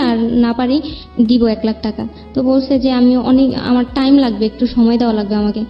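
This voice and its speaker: A young Bangladeshi woman recounts her own troubles as a show guest in a plaintive, rambling way. Her voice is fairly high and carries a long reverb. Soft music plays underneath. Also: dull audio. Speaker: guest telling own life story. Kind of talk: personal spoken account. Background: background music.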